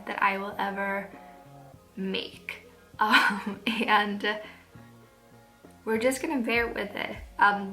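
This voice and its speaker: A woman's voice: medium pitch, pushed and bright. A Swedish woman in her twenties talking to camera at home in English, nervous and giggly, with many fillers and restarts. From Sweden. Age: 20s. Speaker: vlogger. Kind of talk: casual talk to camera. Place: home, indoors.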